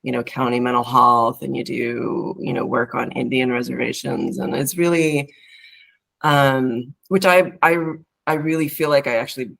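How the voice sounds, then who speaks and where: Woman therapist from california, kinda gravelly voice, rambles and restarts a lot, casual chat. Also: very deep voice, steady tone, normal speed, a bit bright. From California, United States. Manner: rambling, reflective, with frequent fillers and restarts. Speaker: therapist, podcast guest. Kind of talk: casual interview talk.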